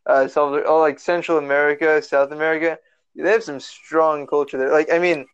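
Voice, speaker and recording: A man's voice: a little high, flat delivery, fairly quick, clear, resonant tone. A young man from the Los Angeles area, a podcast guest chatting casually over a remote call, rambling with lots of fillers and restarts. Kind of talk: casual chat. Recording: lo-fi online call audio.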